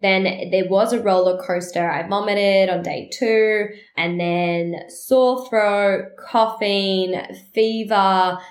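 A woman's voice: medium pitch, echoey room. Nasally congested woman, chatty podcast talk. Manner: chatty, rambling and animated, with quick asides. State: nasally congested while recovering from illness. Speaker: podcast host. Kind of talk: casual solo podcast chat.

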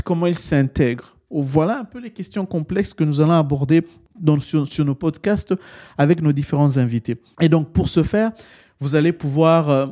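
A man's voice: a little high, mellow. A man from the Democratic Republic of the Congo introducing his podcast as its editor, explaining earnestly, posing rhetorical questions and often restarting his phrases. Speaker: podcast host and French-language editor.

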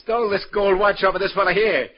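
An actor playing a weary, commanding New York police detective lieutenant in 1940s radio crime drama, speaking in a clipped, slangy way. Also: male voice, high-pitched, steady tone, fast talker. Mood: wry, tough. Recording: noisy old radio recording, dull.

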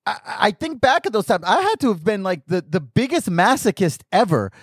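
A man's voice: sits high, big pitch swings, normal speed, husky, dry recording, clean studio sound. A young man with a scratchy voice, tired and a little under the weather, chatting casually as a podcast host with jokes at his own expense. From Arizona, United States. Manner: chatty, self-joking, restarts often. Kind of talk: casual solo podcast talk.

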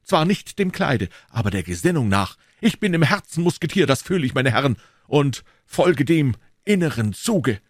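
A man acts out a bold young swordsman from an adventure storybook. He speaks with earnest, courtly emphasis, his voice raised high and swinging with fervour. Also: big pitch swings, normal speed, no echo, clean studio sound. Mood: fervent.

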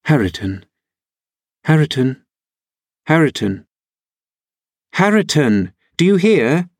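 A man's voice: medium pitch, lively intonation, measured pace, breathy edge, no echo, clean studio sound. A man voicing a willful young woman who pleads insistently with her cousin in an audiobook novel.

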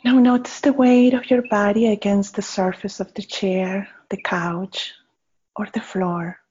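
A woman leading a guided meditation in a gentle, unhurried manner with pauses between short phrases. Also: mid-range voice, little pitch movement, breathy edge. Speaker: meditation guide, faculty member. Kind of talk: guided body scan meditation. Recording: slightly dull, muffled audio.

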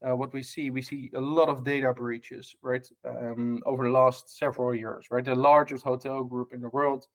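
Dutch man, mid-pitch, chatty guest who hesitates a lot and keeps checking "right?". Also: flat delivery, normal speed. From Netherlands. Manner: many fillers and restarts, tag questions. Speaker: guest, hospitality tech lead. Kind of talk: casual podcast interview.

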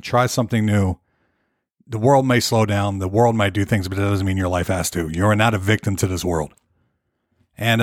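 A hoarse man hosting his own podcast, chatting loosely and rambling from topic to topic. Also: lowish voice, dry recording, studio quality. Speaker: podcast host. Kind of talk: casual solo podcast rambling. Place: Nashville.

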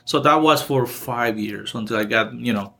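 A man's voice: medium pitch, moderate pace, gravelly, fairly bright tone. A man in his forties from Honduras, speaking English with a Honduran Spanish accent, talks as a music professor in a casual interview, hesitant with restarts but upbeat. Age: 40s.

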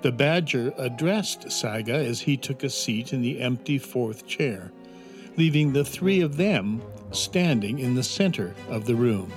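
A man narrating a children's fantasy audio drama in a measured, descriptive storytelling manner. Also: medium pitch, lively intonation, over music.

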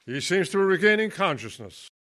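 A man's voice: high voice, very animated, fairly quick, a bit bright. A man playing a stern, indignant head of a school board, demanding answers in radio comedy style.

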